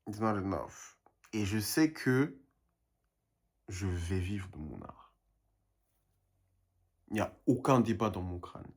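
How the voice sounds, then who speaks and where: A man's voice: fairly low pitch, animated, slow, long pauses, husky. A young man and painter talking in a casual vlog monologue, rambling and self-motivating, dropping English phrases now and then.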